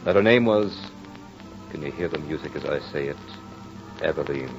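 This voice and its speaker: A man's voice: a bass voice, animated, normal speed. An actor playing a French nobleman and former soldier in an old radio drama, speaking earnestly in a formal, theatrical way. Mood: tender, ardent. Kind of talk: 1940s radio drama acting. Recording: dull old radio recording with music.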